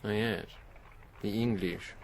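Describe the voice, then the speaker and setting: An actor playing a genial, teasing French army captain in a radio drama, with a French accent. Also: male voice, lowish voice, steady tone, takes it slowly. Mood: amused exasperation.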